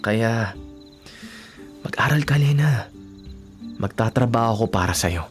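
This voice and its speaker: A man playing a devoted young working-student boyfriend in a Filipino radio drama, speaking gently, earnestly and reassuringly. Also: mid-range voice, animated, measured pace, faint music behind, natural voice. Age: young adult.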